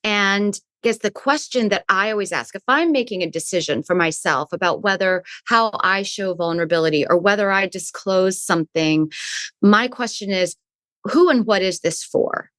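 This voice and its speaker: A woman's voice: medium pitch, moderate pace, a bit bright, no room sound. A woman speaking as a guest in an interview, a resilience coach who explains her points thoughtfully and often restarts her phrases. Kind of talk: conversational interview answers.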